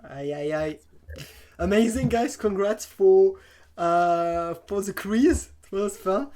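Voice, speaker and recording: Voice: mid-range voice, expressive, slow, mellow. Hesitant talk show host, mid-range voice. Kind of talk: casual esports talk show interview.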